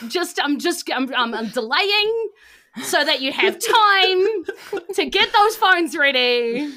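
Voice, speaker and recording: A woman's voice: extremely high pitch, lively intonation, slow, bright, forceful tone. A woman with a New Zealand accent, chatting casually as a podcast host and game master in a bubbly, rambling, giggly way. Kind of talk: casual unscripted sign-off chat.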